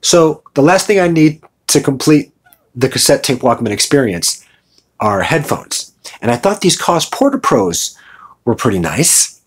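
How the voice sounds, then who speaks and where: A man's voice: medium pitch, big pitch swings, measured pace, slightly rough. A middle-aged American man talking informally and conversationally in a casual show-and-tell vlog. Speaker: video host.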